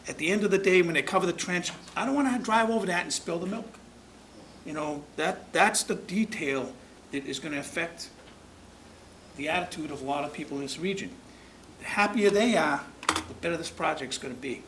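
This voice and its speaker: A man's voice: fairly high pitch, fairly quick, fairly bright tone. A man chairing a town commission meeting, speaking in a folksy, rambling, plainspoken way. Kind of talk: public meeting remarks. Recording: noisy public-access meeting recording.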